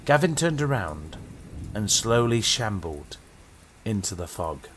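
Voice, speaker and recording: A man's voice: very animated, slow, a bit bright, a little hiss or hum. Dramatic British man narrating horror. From Britain. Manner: dramatic storytelling with sweeping pitch.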